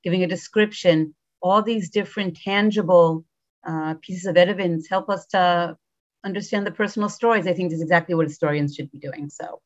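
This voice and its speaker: A woman's voice: lowish voice, fairly even intonation, a little fast. A woman moderating a panel who speaks fluently and encouragingly to the speakers. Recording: dull lo-fi sound.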